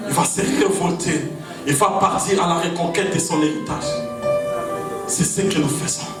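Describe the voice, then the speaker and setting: A man from Congo with a Congolese French accent, preaching an impassioned sermon to a rally. He shouts his refrains in repeated rhythmic cadences. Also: high-pitched, steady tone, normal speed. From Congo (DRC). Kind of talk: fiery political sermon. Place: large echoing hall at a gathering. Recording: very echoey hall, far off, music behind. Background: music playing.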